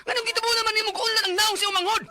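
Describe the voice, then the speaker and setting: A man's voice: very high voice, little pitch movement, fast, noisy background. A man playing an exasperated, hot-tempered stepfather in a Bisaya radio drama, scolding and complaining.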